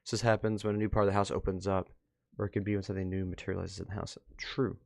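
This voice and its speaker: A man with a fairly low voice hosts a reaction video in a casual way. He thinks aloud and mutters asides, and the recording is close and dry, with very little room sound. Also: level tone, very fast, creaky, darkish tone, no echo.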